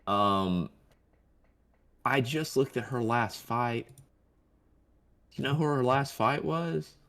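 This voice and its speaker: A man's voice: mid-range voice, takes it slowly, a bit bright. A man co-hosting a podcast in casual sports chat, teasing and quizzing his partner over fight predictions. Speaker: co-host of an MMA podcast.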